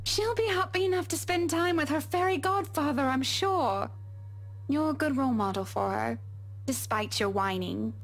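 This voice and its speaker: A woman playing a composed young wife in a visual novel game, reassuring and gently teasing. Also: high-pitched, moderate pace. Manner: calm, reassuring, dryly teasing.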